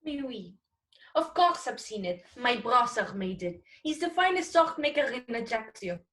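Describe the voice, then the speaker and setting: A woman's voice: fairly high pitch, sing-song, moderate pace, a bit bright. A woman playing an indignant male sailor from Corsica in a 1940s-style radio play, protesting emphatically. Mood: indignant, pleading.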